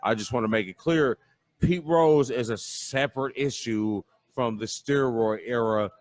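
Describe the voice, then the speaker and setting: A man's voice: medium pitch, unhurried, dry recording. Chatty middle-aged Midwestern man, dry sound. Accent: American Midwest. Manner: jokey, rambling, with many fillers. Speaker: sports talk show co-host. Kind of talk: casual live sports chat. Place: bar patio.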